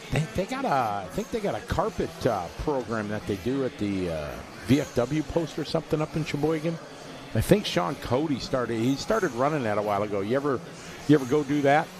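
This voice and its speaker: A man calling a radio-controlled car race live, giving quick play-by-play and reading out viewer messages. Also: medium pitch. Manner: rapid play-by-play, reads viewer chat aloud. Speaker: race commentator.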